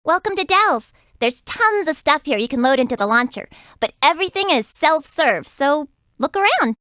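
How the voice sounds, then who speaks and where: Very high, bright young guide. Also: female voice, very animated, moderate pace, no room sound, clean studio sound. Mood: upbeat, helpful. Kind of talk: children's computer game acting.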